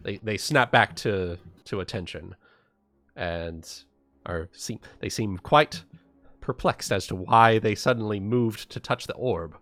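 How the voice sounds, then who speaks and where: Slow-paced man as game master. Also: on the low side, a bit bright, dry recording. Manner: halting, repeats phrases while narrating. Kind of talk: improvised tabletop game narration.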